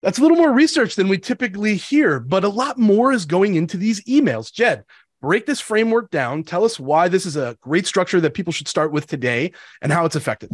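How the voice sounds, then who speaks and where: A man hosting a live sales webinar, sounding stuffy from a cold and pitching with energetic hype. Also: fairly quick, no echo. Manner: energetic, hyped promo delivery. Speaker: show host.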